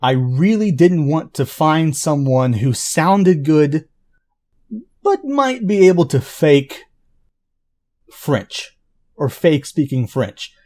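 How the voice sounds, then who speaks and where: A man who writes an audio drama, explaining it in an earnest, informal pitch. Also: big pitch swings, slow, long pauses, darkish tone.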